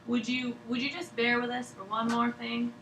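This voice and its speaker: Woman reading part of polite lady official, keeps pressing patiently, highish voice, echoey and noisy. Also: flat delivery, moderate pace, a bit bright. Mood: gently pressing. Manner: polite, deferential, insistent. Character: patient, persistent woman official questioning a sick patient. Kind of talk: table read of a drama. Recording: echoey room, distant mic, noisy background.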